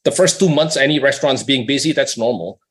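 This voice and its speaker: A man's voice: medium pitch, fast, a bit bright. A man speaking as a company executive on an earnings call, explaining in a conversational way with rhetorical questions and check-ins with the listener.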